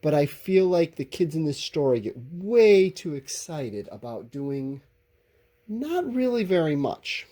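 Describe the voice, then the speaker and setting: A man's voice: a little high, sing-song, a little slow, warm tone. A man teaching a recorded lesson, talking informally to his students and explaining things in a candid, conversational way.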